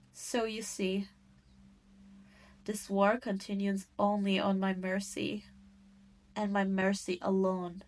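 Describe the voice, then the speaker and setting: A woman's voice: mid-range voice, lightly acted. A woman playing a cruel, gloating demon queen in a scripted audio roleplay, condescending and taunting.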